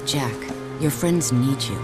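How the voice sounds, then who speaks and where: A woman's voice: extremely low pitch, slow pace, over loud music. A woman playing an earnest resistance ally in a video game, with an imploring way of talking. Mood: pleading. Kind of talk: video game cutscene acting.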